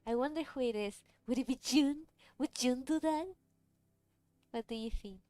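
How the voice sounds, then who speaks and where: A woman's voice: high voice, moderate pace, dark tone, dry recording. A woman whose first language is Cantonese, chatting casually on a livestream while she draws, rambling and answering chat questions. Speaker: streamer drawing a webcomic. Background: faint music.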